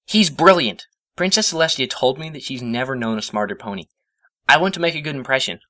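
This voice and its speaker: An excitable, studious young mare from a storybook, played by a man. The voice is pitched well up and swings about in melody. The manner is earnest and gushing, easily flustered. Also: a little fast. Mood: starstruck. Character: bookish, excitable young female pony, anxious hero-worshipper. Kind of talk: storybook character voice.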